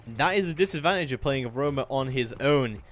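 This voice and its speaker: A man giving live play-by-play commentary on a video game match in an excitable manner. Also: mid-range voice, brisk. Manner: excitable, building to shouts at big plays.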